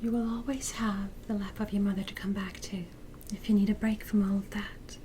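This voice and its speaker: A woman playing a gentle queen mother in a medieval ASMR roleplay, comforting her young son in a soft, breathy, reassuring whisper. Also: medium pitch, flat delivery, slight background noise, lightly acted. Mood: tender, soothing. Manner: slow, tender, reassuring.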